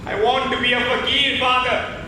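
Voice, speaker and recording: A man's voice: very high voice, completely flat delivery, moderate pace, noisy background. A man playing an earnest, pious, troubled young crown prince in a stage play, speaking in a pleading, theatrical way. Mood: anguished, impassioned. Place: indoor theatre stage, distant mic. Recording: echoey theatre stage from far off.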